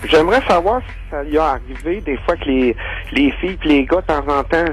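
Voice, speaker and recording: A man with a Quebec French accent touched with Italian, calling in over the phone to a radio show and asking a question in a hesitant, halting way. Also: on the high side, expressive, low-quality recording. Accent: Quebec French with a slight Italian touch. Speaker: radio caller. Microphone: phone line.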